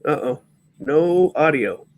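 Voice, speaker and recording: A congested man with a high, nasal speaking voice hosting a casual stream chat and often agreeing with short yeahs. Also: lively intonation, slight background noise. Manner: agreeable, many quick yeahs. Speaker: stream host.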